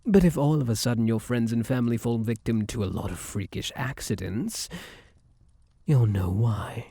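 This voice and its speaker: A man's voice: mid-range voice, normal speed, vocal fry, dark tone, slightly rough, no room sound. A man playing Luck itself as a possessive, smug, suavely menacing suitor in a theatrical ASMR roleplay, coaxing the listener with old-fashioned flowery endearments. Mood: veiled threat.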